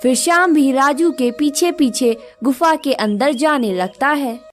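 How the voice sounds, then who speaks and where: Slow-paced woman narrating a cartoon story. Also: high-pitched, over music.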